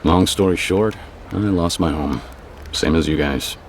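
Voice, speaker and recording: A man's voice: low voice, unhurried, a little hiss or hum. An actor playing a stoic, terse tough man in a video game dub, with an American accent. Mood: calm, weary. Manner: terse, restrained. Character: stoic, plain-spoken tough man in hiding.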